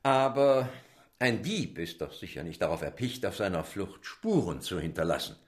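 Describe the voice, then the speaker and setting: An actor in a German radio drama playing a sceptical, correct consul with a measured, dismissive official manner. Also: male voice, low-pitched, very animated. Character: sceptical, correct male British consul, mildly amused.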